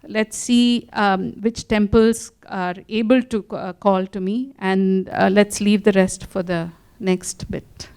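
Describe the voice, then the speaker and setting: A woman, an author answering questions in a relaxed conversation, often restarting her sentences. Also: mid-range voice, slow, hardly any pauses, clean tone. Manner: animated, many restarts and fillers. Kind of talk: conversational interview.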